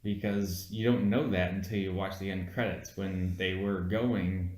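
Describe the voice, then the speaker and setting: A man's voice: deep voice, fairly even intonation, measured pace, a little mellow. A man chatting casually as co-host of a film review, blunt and argumentative, swearing freely, recorded in an echoey room. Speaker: podcast co-host.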